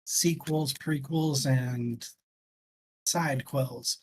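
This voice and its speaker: A man's voice: mid-range voice, fairly even intonation, drawn-out words, slight background noise. A man hosting a book discussion show in casual, informal, joking chat.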